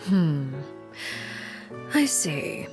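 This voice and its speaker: Cool, menacing, deep-toned woman leader. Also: over music, full character voice. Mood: cool, guarded. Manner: measured, formal, dry, deadpan. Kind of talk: video game voice acting.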